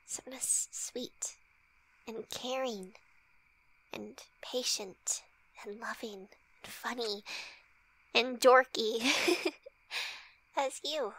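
A woman playing a flirty, shy, teasing best friend in an intimate ASMR roleplay, with breathy, hesitant, soft speech. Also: fairly high pitch, very slow, pauses often, exaggerated voice, rough recording. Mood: tender, shy. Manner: breathy whispering, hesitant, giggly.

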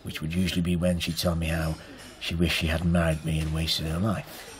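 An actor playing a rueful, hesitant middle-aged husband in a naturalistic radio drama. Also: male voice, low voice, completely flat delivery, brisk, slight background noise, lightly acted. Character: rueful working man whose wife left him.

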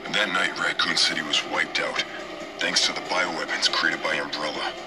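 A man's voice: a bass voice, fairly even intonation, moderate pace, over music. An actor playing a tough, determined male agent in video game dialogue. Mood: grim.

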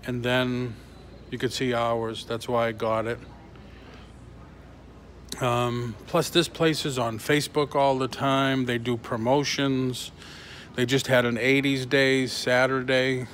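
A middle-aged man chatting casually on a live vlog from inside a shop, in a halting way, recorded on a handheld phone. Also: mid-range voice, level tone, fairly bright tone. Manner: halting, reading and answering comments. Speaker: toy reseller livestreaming. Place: large concrete-floored antique mall booth indoors. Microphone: phone held at arm's length or closer. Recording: handheld phone with clear ambient noise.

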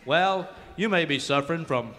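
A man's voice: fairly high pitch, moderate pace, fairly bright tone, long reverb tail, close to an everyday voice. An actor playing an earnest, folksy cowboy spokesman in a parody old-time radio commercial.

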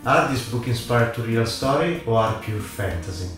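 A man acts as interviewer, with non-native English phrasing. His medium-pitched voice sounds very echoey, with guitar music playing clearly behind it. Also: lively intonation, normal speed, fairly bright tone. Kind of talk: interview questions.

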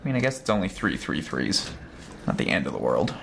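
A man's voice: extremely low pitch, very animated, brisk, vocal fry, noisy background. A man playing a card game on a show, chatting casually and reacting with laughs and exclamations. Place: indoor studio table, overhead camera.

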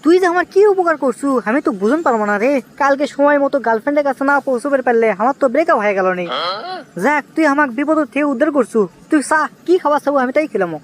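A man voicing an excitable, scheming young village man in a comic cartoon, with a Bogura accent from northern Bangladesh and an exclamatory, wheedling manner. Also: very high-pitched, racing. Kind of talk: comic cartoon dialogue in rural dialect.

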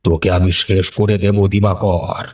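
A man voicing a menacing, vengeful boatman's ghost in a horror cartoon, speaking in slow, drawn-out threats. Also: low voice, little pitch movement, darkish tone. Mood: threatening. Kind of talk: animated horror story acting.

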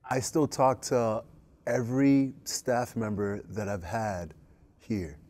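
A young man chats casually on a studio panel, full of energy and repeats. His tone is mellow and warm. He is on a lapel mic. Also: fairly low pitch, fairly even intonation, slow pace. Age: young adult. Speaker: club alumnus and staff. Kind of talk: panel interview. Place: studio stage.

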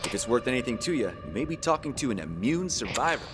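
A man's voice: mid-range voice, very animated, fast talker, loud music behind the voice, close to an everyday voice. An actor playing a brash, streetwise young male survivor of a zombie outbreak in a video game, with slangy, flippant delivery. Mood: brisk, confident. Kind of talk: video game cutscene acting.

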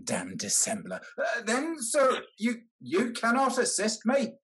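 An older actor playing a humble, quietly skeptical petitioner in a period stage comedy, formal and a little hesitant. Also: male voice, extremely high pitch, huge pitch swings, takes it slowly, full character voice. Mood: skeptical, polite. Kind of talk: theatrical period comedy acting. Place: dim room at home on a video call.

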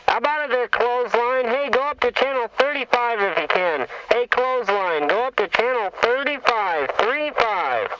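High-pitched man hailing over CB. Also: animated, normal speed, hardly any pauses, bright, forceful tone. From Iowa, United States. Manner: repetitive calling out, hailing. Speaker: CB radio operator. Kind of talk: casual CB radio chat in radio slang. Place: inside a vehicle. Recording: noisy, muffled in-car CB radio recording.

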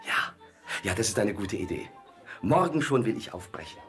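An actor playing a picky, earnest young prince in a fairy-tale radio play. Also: male voice, on the low side, very animated, normal speed, a bit bright, soft background music. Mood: determined.

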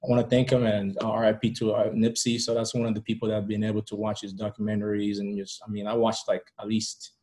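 Guy from rwanda on a video call podcast, lowish voice, chatting casually, repeats himself a lot, you know. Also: monotone, dark tone. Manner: halting, repeats words, frequent "you know". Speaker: podcast guest. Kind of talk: casual interview storytelling. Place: indoor room at home, video call.

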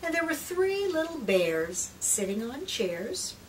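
A middle-aged woman gently and expressively reading a picture book aloud to young children, drawing out phrases and sometimes dropping to a hush. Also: sits high. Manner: gentle, expressive, drawn-out phrases with hushed whispers and questions to the listener. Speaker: children's read-aloud presenter and trainer. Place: small indoor room lined with picture books. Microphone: far camera microphone. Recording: distant microphone, clear background room noise.

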